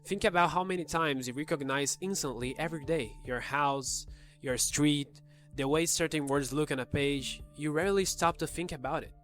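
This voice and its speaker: A man's voice: fairly high pitch, moderate pace, a bit bright, faint music behind. A man with a slight cold, hosting a casual explainer and talking straight to the viewer. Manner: conversational, talks directly to the viewer. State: has a cold, voice a little off. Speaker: video host explaining psychology.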